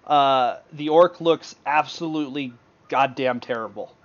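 A man's voice: medium pitch, unhurried. A man narrating as game master in an online tabletop session, loose and full of fillers, laughing often. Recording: dull lo-fi voice chat audio.

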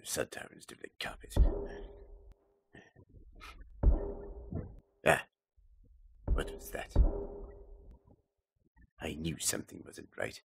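A man playing a gruff, suspicious alien warrior in an audio drama, gravelly, with a pompous, blunt and formal manner. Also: very deep voice, lively intonation, measured pace, a bit bright, exaggerated voice. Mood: suspicious, sneaking. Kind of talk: fan audio drama acting.

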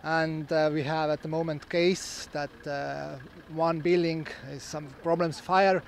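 Man, halting English, outdoors, handheld recorder. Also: on the high side, fairly even intonation, gravelly, a little hiss or hum. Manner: halting, searching for words. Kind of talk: casual explanation. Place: outdoors at a training ground. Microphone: handheld recorder held close.

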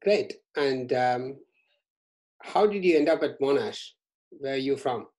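Middle-aged male interviewer, calm and measured, speaking over a video call. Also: mid-range voice, poor audio. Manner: measured, a little halting, repeats words. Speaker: interviewer, university staff. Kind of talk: interviewing a student. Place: small room at home, indoors. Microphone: video call webcam.

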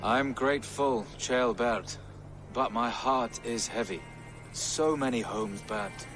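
Brief: male actor as gentle medieval bishop, mid-pitched and measured, game soundtrack clearly under. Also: music in the background, lightly acted. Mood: grave. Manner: measured, prayerful, cautious. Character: pious worried bishop, gentle and careful. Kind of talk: video game cutscene acting.

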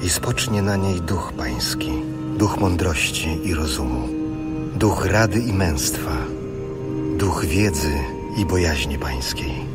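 A man narrating scripture in a solemn, dramatized reading. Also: low-pitched.

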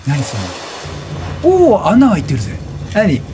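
High-pitched, animated male game streamer. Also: a little slow. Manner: chatty, reactive, repeats short phrases. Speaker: let's play streamer. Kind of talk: casual excitable game commentary. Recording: game music behind the voice. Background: video game music.

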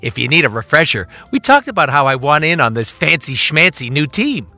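An actor playing a wisecracking, manic mercenary in a video game, cheeky and showy. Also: male voice, a little high, sing-song, brisk, bright, forceful tone, heavily acted.

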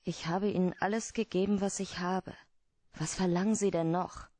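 An actress in a German radio play as a secretive, seductive femme fatale client, guarded and formally beseeching. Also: female voice, lowish voice, fairly even intonation, normal speed, dark tone, no room sound, lightly acted. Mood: pleading, seductive.

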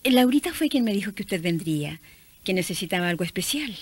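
A woman's voice: mid-range voice, sing-song, husky, no room sound. A woman playing a polite, earnest mother who keeps a shop, in a radio drama. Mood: courteous. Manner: courteous, measured.

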